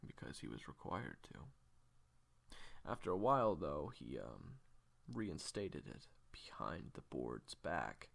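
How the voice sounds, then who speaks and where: A man playing a weary, secretive assassin in an audio drama, telling a dark past haltingly in a gravelly voice that slips toward a whisper. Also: extremely low pitch, big pitch swings, exaggerated voice. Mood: grim, subdued. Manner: halting, deliberate, with heavy pauses. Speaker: audio roleplay voice actor. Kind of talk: hushed confessional storytelling in audio drama.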